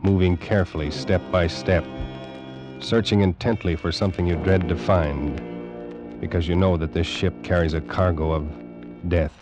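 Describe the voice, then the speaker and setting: A man announcing a radio suspense drama in an ominous, measured 1940s style, on an old recording. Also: low-pitched, steady tone. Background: music.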